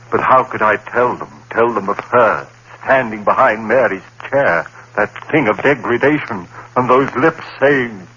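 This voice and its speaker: An actor playing a frightened, reason-bound professor in a 1940s-style radio play, narrating in a dramatic, brooding way. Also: male voice, deep voice, bright, forceful tone, breathy edge, full character voice. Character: haunted male professor, rational and frightened. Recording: dull old radio broadcast with faint hiss.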